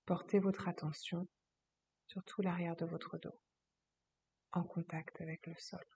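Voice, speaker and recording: A woman leads a guided relaxation as a yoga teacher. Her voice is slightly low, very mellow and soft, with a breathy, husky edge. She gives each instruction slowly and soothingly, leaving long pauses between phrases. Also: little pitch movement.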